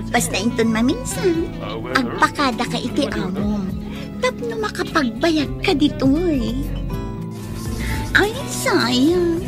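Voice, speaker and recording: A friendly young club woman in a radio drama. Her high voice swoops expressively over loud music. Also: heavily acted. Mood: friendly, eager. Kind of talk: Ilocano radio drama acting.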